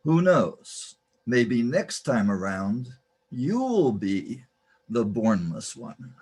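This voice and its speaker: Male radio-play narrator, medium pitch, unhurried ceremonious delivery of a scripted introduction. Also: sing-song, darkish tone, slightly rough. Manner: measured, ceremonious.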